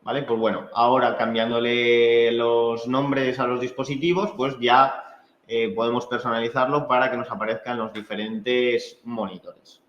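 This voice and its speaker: A man's voice: mid-range voice, little pitch movement, slight background noise. Spanish man training in an echoey room. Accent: Spanish from Spain. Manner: halting, conversational explanations with frequent check-in tags. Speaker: technical trainer. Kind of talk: informal technical training presentation. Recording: echoey room, dull muffled sound.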